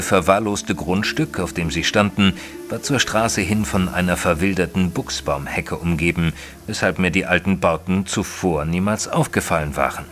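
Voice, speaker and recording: An actor playing an educated, observant gentleman who narrates his own story in a formal, literary audio drama style. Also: low voice. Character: male narrator Mister Tristram, educated, observant, easily flustered gentleman. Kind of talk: scripted first-person audio drama narration.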